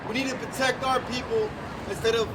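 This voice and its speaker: A man's voice: extremely high pitch, little pitch movement, brisk. A man giving a short, hesitant statement at an outdoor protest, with frequent "um"s. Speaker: speaker at a protest press conference. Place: outdoors on a busy city street. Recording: distant street recording with traffic noise.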